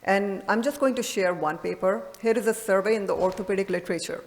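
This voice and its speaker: A woman's voice: medium pitch, fast. A woman giving an earnest, emphatic medical conference lecture as a presenting radiologist, recorded in a reverberant hall.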